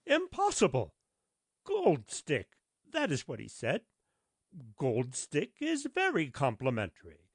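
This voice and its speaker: A man's voice: on the high side, wildly swooping pitch, takes it slowly, husky, dry recording, studio quality, heavily acted. A man voicing a conceited, self-important male firework rocket in a storybook reading. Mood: boastful.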